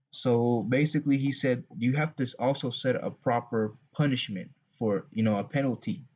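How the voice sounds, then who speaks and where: Texan male host, mid pitch, husky voice, loose rambling delivery, dull home recording. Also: darkish tone. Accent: Southern US, Texas. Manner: rambling, restarts, frequent asides. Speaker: religious channel host. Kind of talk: unscripted advice talk.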